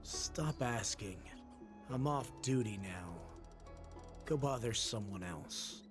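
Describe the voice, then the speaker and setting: A man playing a curt, suspicious fox-man pilot in a video game, gruff and gravelly. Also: lowish voice, lively intonation, slow pace, music in the background. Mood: dismissive.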